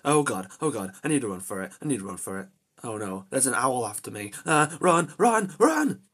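A man's voice: medium pitch, big pitch swings, a little fast, a bit bright, husky. A man voicing an anxious, protective young adventurer in amateur character lines, talking to himself with dramatic exclamations. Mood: panicked. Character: anxious, reluctant young adult male adventurer hero, protective.

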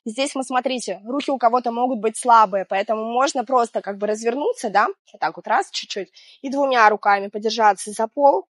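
Hoarse woman, 30s, chatty gentle fitness teacher, kinda high voice, dry close sound. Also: a little fast, no room sound. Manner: chatty, gentle, laughs between cues. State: hoarse from illness. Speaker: fitness instructor. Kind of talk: guided exercise instruction. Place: outdoors on a seaside promenade.

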